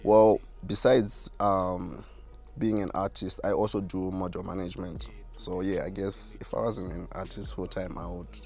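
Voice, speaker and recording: A man with a deep voice, a self-assured artist answering interview questions. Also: level tone, normal speed, creaky, a little mellow, faint music behind. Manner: self-assured, boastful about his skill. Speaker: rapper and artist, guest.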